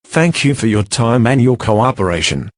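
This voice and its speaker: A man's voice: mid-range voice, expressive, normal speed, no room sound, studio quality, close to an everyday voice. A man playing a courteous head of security who reads an official bulletin in a formal, orderly way.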